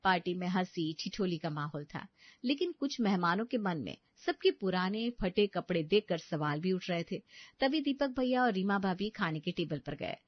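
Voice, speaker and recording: A woman's voice: lowish voice, animated, moderate pace, no room sound, highs cut off. Woman narrating a story, dry sound.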